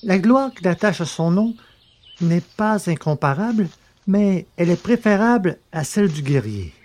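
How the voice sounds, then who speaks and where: Slow, solemn, mellow male audiobook narrator. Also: on the high side. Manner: solemn, declamatory, measured. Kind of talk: literary audiobook narration of an old text.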